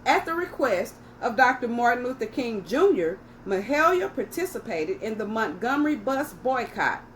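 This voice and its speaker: Lady doing kids story time, kinda high voice, really up and down, teachery, some background noise. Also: big pitch swings, normal speed. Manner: addresses young listeners directly, teacherly. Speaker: children's storytime host. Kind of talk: read-aloud story for children.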